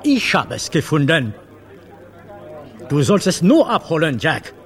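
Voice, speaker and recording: A man's voice: fairly high pitch, big pitch swings, a little slow. An older man playing an imperious, enigmatic French marquis in a radio drama, commanding and cryptic, dropping in French words. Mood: accusing.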